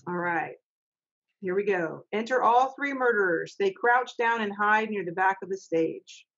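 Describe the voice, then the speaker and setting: A woman's voice: mid-range voice, animated, measured pace, fairly bright tone, muffled recording. A middle-aged woman, reading stage directions as narrator of an amateur readers' theater over a video call. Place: home office on a video call.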